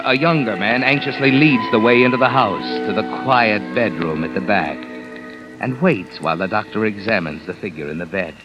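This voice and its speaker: Man acting sinister all-knowing narrator, low voice, sardonic, deliberate, old radio drama, music behind. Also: natural voice. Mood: hushed, grave. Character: ominous all-knowing male narrator addressing a guilty man. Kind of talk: 1950s radio mystery narration. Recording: muffled vintage radio recording with music behind.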